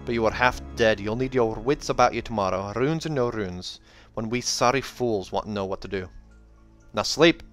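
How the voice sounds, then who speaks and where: Guy doing an old fantasy audiobook dwarf, gruff n protective, low rolled-r voice, music playing. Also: normal speed, fairly bright tone, close to an everyday voice. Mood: gruff, commanding. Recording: music behind the voice.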